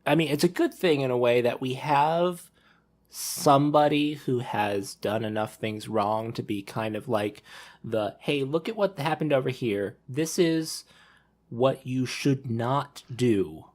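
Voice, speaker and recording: A man's voice: medium pitch, measured pace. A man in a casual panel discussion, talking in a rambling, enthusiastic fan's way as a podcast host.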